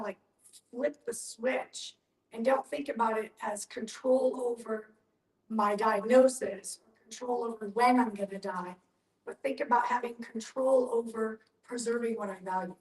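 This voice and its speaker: A woman's voice: a little high, a little mellow. A woman speaking as a panelist in an earnest group discussion, explaining her points with emphasis. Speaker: panelist at a discussion on end-of-life planning. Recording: roomy echo, distant from the mic.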